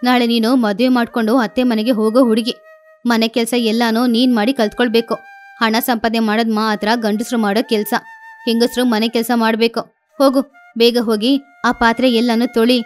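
A woman's voice: on the high side, flat delivery, a little fast, faint music behind, pitched below the performer's own voice, lightly acted. A woman voicing a strict mother sparrow who lectures and bosses in a cartoon. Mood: scolding. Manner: scolding, lecturing. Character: strict mother sparrow, bossy with daughter, doting on son.

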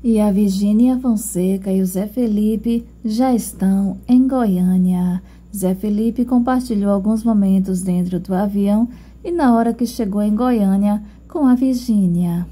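A woman's voice: mid-range voice, a little slow, dark tone, breathy edge. A woman reading a scripted narration between video clips.

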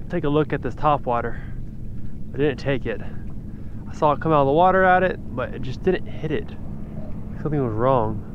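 Animated man talking over outdoor boat noise. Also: fairly high pitch. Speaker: fishing vlogger. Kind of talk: casual running commentary while fishing. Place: outdoors on a small boat on open water. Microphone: body-worn action camera. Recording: muffled action camera audio with clear outdoor boat noise.

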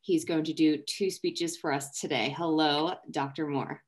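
A woman's voice: low voice, steady tone, measured pace. A woman hosting an event and introducing a guest speaker. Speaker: event host.

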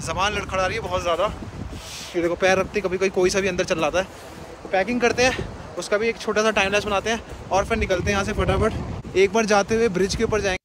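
High-voiced young man vlogging outdoors. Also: steady tone, fast talker, low-quality recording. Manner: chatty, upbeat, talks straight to viewers. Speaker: travel vlogger. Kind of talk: casual vlog chat. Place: outdoors by a river in snow. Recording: outdoor recording with loud wind noise.

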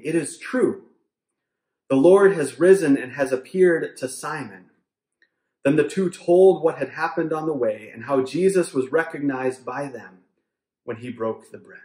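A man in his thirties preaches from a room at home in an earnest, measured way, his voice a little higher than most men's. The room is roomy and echoey around him. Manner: earnest, measured, softening to a hushed prayer. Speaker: pastor. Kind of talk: sermon with closing prayer. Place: home room with bookshelves, indoors.

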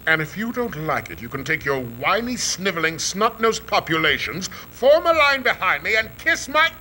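A man's voice: sits high, sing-song, moderate pace, a little hiss or hum, heavily acted, low-quality recording. An actor playing a pompous, scheming male alien villain in a video game, grandiose and sneering. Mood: irate, sneering. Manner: grandiose speech-making, sneering. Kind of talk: video game cutscene acting. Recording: loud music under dialogue.

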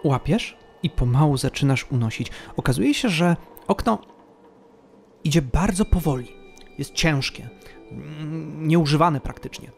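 Gravelly man narrating as game master. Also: on the high side, animated, a little slow, faint music behind.

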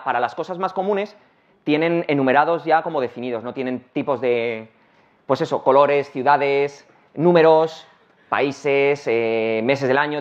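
Madrid accent guy rattling through a tech talk on stage, fast, lots of ums, dull lo-fi sound. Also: mid-range voice. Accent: Madrid Spanish. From Madrid, Spain. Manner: rapid, casual, many restarts and fillers. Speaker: conference speaker. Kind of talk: technical conference talk. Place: large conference hall, on stage. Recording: dull lo-fi conference recording.

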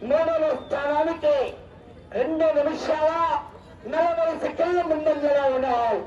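A man giving excited, exclamatory live sports commentary over an outdoor public address. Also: extremely high pitch, measured pace, dull audio, slight background noise. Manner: excited, exclamatory, calling out times and cheering on competitors. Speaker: live announcer at a rural bullock-pulling contest. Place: outdoor event ground. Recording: distant, echoing outdoor PA, faint crowd. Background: faint crowd.

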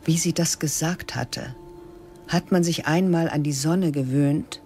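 A man reading the narration of a radio play in a calm, measured, literary way. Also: on the high side, a little hiss or hum. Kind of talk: scripted audiobook narration.

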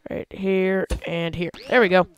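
A man hosting a casual gameplay video, talking through how he builds a vehicle, with excited yelps when he drives it. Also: sits high, a little slow, smooth, dry recording. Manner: chatty, explains while building, excited yelps when driving. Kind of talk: casual let's-play commentary.

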